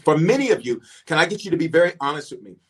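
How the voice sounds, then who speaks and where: A middle-aged man preaching with a voice pitched a bit high for a man. He swings from soft, conversational asides into sudden loud, emphatic bursts. He repeats lines for weight and talks straight to the people watching online. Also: big pitch swings, racing. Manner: talks directly to online viewers, calling for waves and shout-outs. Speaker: pastor and bishop. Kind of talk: livestreamed sermon and prayer.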